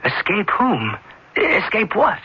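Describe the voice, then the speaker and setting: An actor playing a loyal, concerned young student who speaks earnestly and carefully in a radio drama. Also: male voice, high voice, huge pitch swings, takes it slowly, sharp tone, husky, full character voice. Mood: alarmed. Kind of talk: 1970s radio drama acting. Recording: muffled vintage radio broadcast recording.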